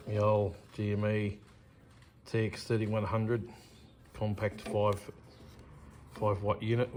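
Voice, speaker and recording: A man's voice: lowish voice, slight background noise. A man presenting a hands-on DIY how-to in a plain, matter-of-fact, step-by-step way.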